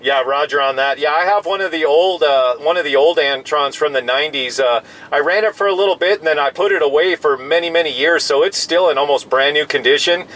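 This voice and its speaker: Higher-voiced American man chatting on radio. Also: fairly quick, hardly any pauses, a bit bright, slight background noise, rough recording. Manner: friendly, complimentary, uses radio jargon. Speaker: radio operator. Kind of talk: casual CB radio chat. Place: in a parked vehicle outdoors. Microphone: CB radio microphone.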